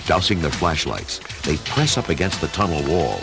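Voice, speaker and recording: A man's voice: very deep voice, big pitch swings, normal speed, creaky. A man giving theatrical scripted narration for a radio adventure story. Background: music.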